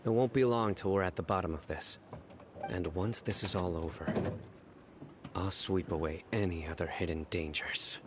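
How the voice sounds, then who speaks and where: A low-voiced, solemn young lawman from a video game, acted by a man. He speaks formally and with measured care, always watchful, over faint noise. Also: natural voice. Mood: grave, measured. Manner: grave, measured, formal delivery. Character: stern, solemn young male desert enforcer, formal and vigilant. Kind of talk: scripted video game dialogue.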